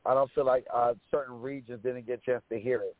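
A raspy-voiced man talks through his story at an easy pace, filling gaps with ums and restarts. The sound is tinny and narrow, like a phone line, and dry, with no room around it. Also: mid-range voice, quick pace, no room sound. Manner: relaxed storytelling with many fillers. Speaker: rapper guest. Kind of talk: casual interview chat.

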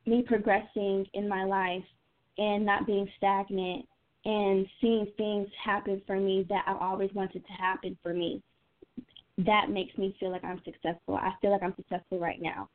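A woman's voice: medium pitch, level tone, moderate pace. A woman of twenty-one answering interview questions casually, thinking aloud in a youthful, informal way. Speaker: singer being interviewed as guest. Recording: tinny narrow phone-line sound.

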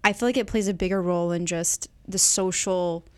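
A woman's voice: fairly low pitch, fairly even intonation, brisk, clean tone. Woman guest on a movie podcast, casual agreeable chat, everyday talking voice. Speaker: guest co-host. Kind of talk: casual film review chat.

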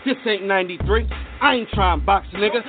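A man's voice: sits high, brisk, over music. A man performing a battle rap with an aggressive, rhythmic delivery. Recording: lo-fi clip over hip hop beat.

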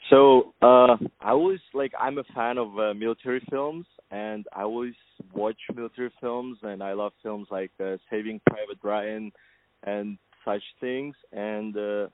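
A man from Kazakhstan talks over a thin, tinny phone line, his voice low and flat. He speaks hesitantly, with many fillers, as a film producer answers an interviewer. The line sounds dry and close. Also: unhurried, dry recording. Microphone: telephone.

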